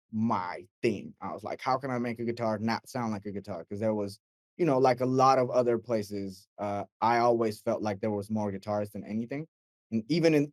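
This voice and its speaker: A young man from Mexico talks through his path into audio engineering in a loose, friendly interview. He tells it as a rambling story, slipping into lively quoted voices. His voice sits at an ordinary pitch, and the sound is close and dry with no room echo. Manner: relaxed storytelling, animated quoting with filler words. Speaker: guest, mix engineer. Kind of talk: casual interview chat.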